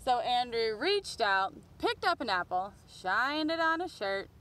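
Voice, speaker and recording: A woman's voice: fairly high pitch, sing-song, unhurried, fairly bright tone. A woman reading a picture book aloud expressively for young children. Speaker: children's storybook reader.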